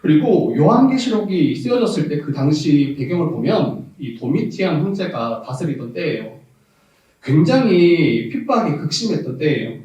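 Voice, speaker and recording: Pastor with a Jeolla accent in an echoey church. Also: male voice, fairly high pitch, big pitch swings, normal speed, dark tone. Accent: Jeolla dialect. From South Jeolla, Korea. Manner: candid, reminiscing, laughs often, rises to emphasis. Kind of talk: sermon. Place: church sanctuary. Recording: far-off echoey church audio.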